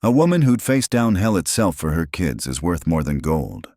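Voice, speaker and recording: A man voicing a gruff, steady middle-aged frontier marshal in a measured, low drawl. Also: no room sound, clean studio sound, full character voice.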